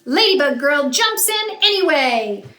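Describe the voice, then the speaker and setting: A woman reading a picture book aloud to children like a teacher, with expressive, emphatic narration that draws out key words. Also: high voice, a little slow. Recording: roomy echo, faint background noise.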